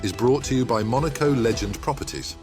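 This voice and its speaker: Male promo announcer, mid-pitched, upbeat scripted read over a loud music bed. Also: lively intonation, a little fast. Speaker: sponsor announcer.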